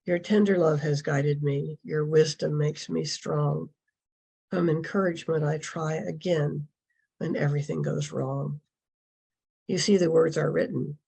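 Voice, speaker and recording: Give a woman's voice with a creaky, husky edge and a soft, dark tone. She reads devotional verses and affirmations to a congregation, phrasing each line with measured care. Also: low voice, steady tone. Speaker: congregation member reading a service address. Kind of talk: devotional reading, poem and affirmation.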